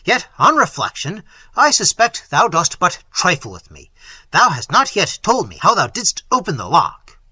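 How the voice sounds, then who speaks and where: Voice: mid-range voice, big pitch swings, moderate pace, pushed and bright, breathy edge, no room sound, voice pushed up, full character voice. A narrator voicing an imperious, hot-tempered prince in a storybook, giving commands in impatient, archaic language. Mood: suspicious.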